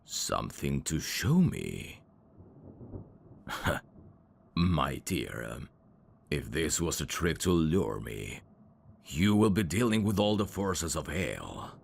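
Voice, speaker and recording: A man playing a suave, teasing demon in an intimate ASMR roleplay, courteous and flirtatious, with a gravelly voice. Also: a bass voice, very animated, slow pace, pauses often, slight background noise, heavily acted. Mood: amused, menacing. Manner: smooth-talking, flirtatious, formal phrasing with hesitations. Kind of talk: intimate ASMR roleplay acting addressed to the listener.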